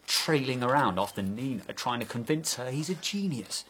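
An actor playing a bitter, jealous, fragile young man with a husky voice in a stage drama. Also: mid-range voice, lively intonation, normal speed, noisy background, natural voice. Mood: intimate, bitter. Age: young adult.

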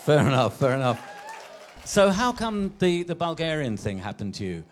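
A man's voice: fairly high pitch, sing-song, normal speed, a little hiss or hum. A man hosting an onstage interview at a festival, asking questions with enthusiasm. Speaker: festival stage host.

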